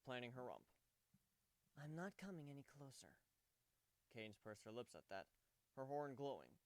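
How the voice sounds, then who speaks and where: Animated, smooth-voiced male story narrator. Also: mid-range voice, no room sound. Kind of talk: dramatic fan fiction reading, scripted narration.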